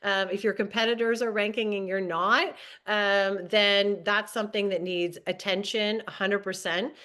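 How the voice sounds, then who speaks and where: A woman on a panel giving practical marketing advice in a steady, conversational way. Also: medium pitch, normal speed. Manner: advising, tip-giving, steady with fillers. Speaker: social media marketer, panelist. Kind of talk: casual panel discussion.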